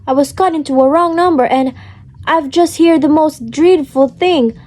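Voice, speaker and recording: A woman's voice: high-pitched, normal speed, a little mellow, natural voice. A young woman in an amateur radio play, playing an anxious, demanding invalid wife with a fretful, insistent manner. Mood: horrified, urgent. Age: young adult.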